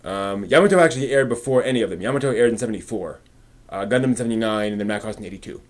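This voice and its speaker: A man's voice: lowish voice, very fast. A man hosting a casual live stream, rambling and often muttering to himself as he works.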